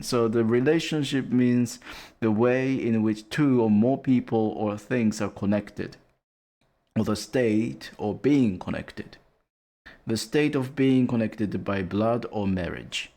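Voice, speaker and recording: A young man hosting a casual streamed study session, talking hesitantly with many fillers and soft laughs. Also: mid-range voice, slow pace. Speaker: stream host leading a language study session.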